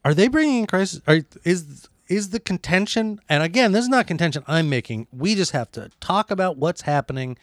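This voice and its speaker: A man co-hosting a satirical comedy chat, deadpan and mock-serious, often restarting his sentences. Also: sits high, a little fast, few pauses, no echo, clean studio sound. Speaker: podcast co-host.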